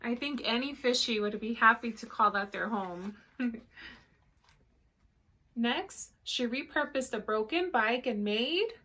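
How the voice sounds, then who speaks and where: Lady librarian doing storytime for kids, high bright voice, slow and expressive, asks little questions. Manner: animated, checks in with listeners, explains words simply. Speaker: storytime librarian reading a picture book to children. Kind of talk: expressive read-aloud with explanatory asides for children.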